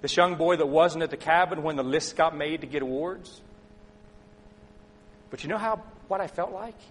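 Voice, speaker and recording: A middle-aged man preaching a sermon, telling a personal story and asking the congregation questions, sometimes loud and sometimes soft. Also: fairly high pitch, moderate pace, a little hiss or hum. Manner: conversational, asks the congregation questions, swings between loud and soft. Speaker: pastor.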